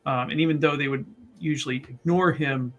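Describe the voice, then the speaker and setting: A man's voice: mid-range voice, steady tone, brisk, a bit bright, muffled recording. A man playing a tabletop roleplaying game, casually improvising a description of his character in a rambling, hesitant way.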